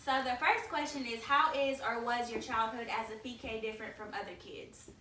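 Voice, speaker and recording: A woman's voice: on the high side, brisk, pushed and bright. A young woman hosting her vlog in a casual, chatty way and leading a group through questions. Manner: friendly, chatty, leads the questions. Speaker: YouTuber host. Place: indoor room with guests. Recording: echoey room, distant mic, faint noise.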